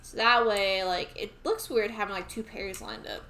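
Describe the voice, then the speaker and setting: A woman's voice: mid-range voice, fairly even intonation, moderate pace, bright, forceful tone, slight background noise. A woman with a Southern US accent, chatting casually to herself as she streams a game. She rambles and is easily amused.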